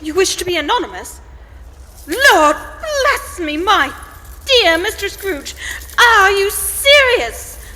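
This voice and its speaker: Woman playing a very high-pitched, genteel, flustered gentlewoman. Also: sing-song, heavily acted. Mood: astonished. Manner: halting, emphatic, genteel. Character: genteel, astonished charity gentlewoman, gracious and flustered. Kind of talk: live radio play acting. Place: large church, live performance. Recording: echoey hall, noisy live ambience.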